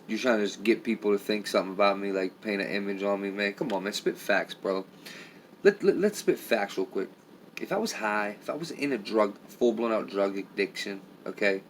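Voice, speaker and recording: A middle-aged man with a raspy, low voice rambles to his viewers on a live stream, leaning hard on filler phrases. He sounds tired and worn, and a faint background hum sits under the talk. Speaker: vlogger.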